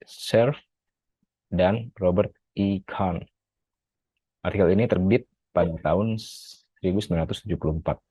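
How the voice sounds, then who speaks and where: A man's voice: deep voice, measured pace, pauses often, warm tone, husky, no echo. A male graduate student steadily reading a prepared academic article review, with occasional self-corrections. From Indonesia.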